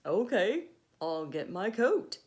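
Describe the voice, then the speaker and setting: Storybook pizza baker, male, hurried and gruff with a good heart, big exclaiming delivery played by a woman reader, lively pitch swings. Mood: brisk, annoyed. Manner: loud, exclaiming, storybook character voice.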